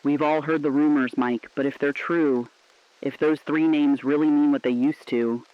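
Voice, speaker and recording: A man's voice: medium pitch, no room sound, natural voice. A man playing an earnest, defiant radio correspondent in a shelter, giving a solemn, dramatic broadcast on a dull, dry recording. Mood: ominous.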